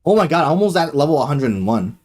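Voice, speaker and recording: A young man chatting casually on a live gaming stream, excitable and loose, full of fillers and slang, swearing freely. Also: on the high side, quick pace, faint music behind.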